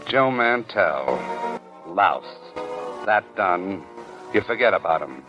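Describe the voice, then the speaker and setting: An actor as a terse, sardonic, hard-boiled narrator of a 1940s radio drama, speaking dramatically. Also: male voice, sits low, big pitch swings, slow pace, full character voice. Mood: grim, terse. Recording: dull 1940s radio broadcast over music.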